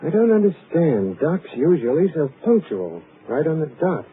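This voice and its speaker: An actor playing a lightly joking, reassuring husband in a 1940s radio drama. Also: male voice, fairly high pitch, big pitch swings, normal speed. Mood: wry. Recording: tinny, hissy 1940s radio broadcast.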